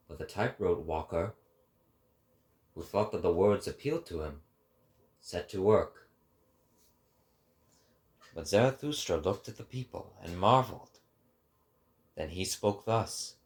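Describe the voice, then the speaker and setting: A man's voice: deep voice, measured pace, pauses often. A man narrating a scripted story in a dramatic storytelling style.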